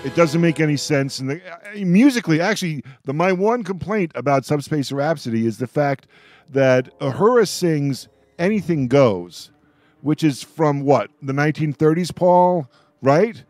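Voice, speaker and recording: Animated man hosting radio roundtable. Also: on the high side, moderate pace, no room sound, soft background music. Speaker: radio host moderating a roundtable. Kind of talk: casual roundtable chat.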